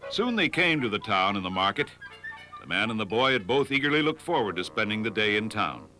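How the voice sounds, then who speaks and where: Animated male storybook narrator. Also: on the low side, fairly quick, soft background music, rough recording.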